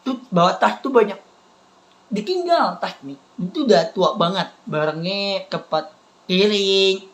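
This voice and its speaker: A man, talking casually to viewers in a halting, repetitive way that keeps circling back to the same phrases. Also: high-pitched. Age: 30s. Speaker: vlogger. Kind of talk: casual rambling talk to viewers.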